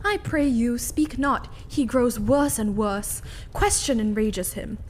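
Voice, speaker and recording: A woman acting a high-voiced, imperious queen. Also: expressive, takes it slowly, slight background noise. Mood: urgent composure. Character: commanding, scornful queen covering for her husband. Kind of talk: dramatic reading of Shakespearean tragedy.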